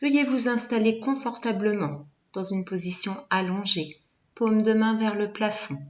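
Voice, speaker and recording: A woman's voice: mid-range voice, lo-fi sound. Woman guiding relaxation in an echoey room. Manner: soothing, measured instructions with pauses. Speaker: yoga nidra instructor. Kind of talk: guided relaxation meditation. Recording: echoey room, background noise, birdsong.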